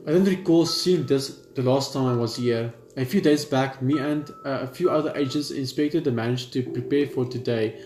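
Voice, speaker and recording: Young male let's player, halting narration, echoey room, clear background music. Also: medium pitch, a little mellow. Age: young adult. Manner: halting read-aloud, stumbling over words. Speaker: gaming video host. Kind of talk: let's play, reading visual novel text aloud. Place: indoor room at home. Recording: roomy echo under game music.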